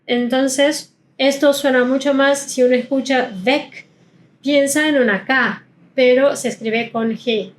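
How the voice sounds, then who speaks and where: A woman's voice: fairly high pitch, a little hiss or hum, low-quality recording. Woman teaching German pronunciation. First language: not Spanish. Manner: explanatory, slows down to model sounds. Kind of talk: pronunciation lesson.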